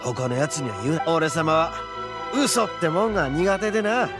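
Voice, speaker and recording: A proud young oni gang leader from an anime game, speaking in rough, blunt slang with a swagger. His voice sits a little high for a man, and music plays underneath. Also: animated, normal speed. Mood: earnest, gruff. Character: brash, proud young male horned oni gang boss.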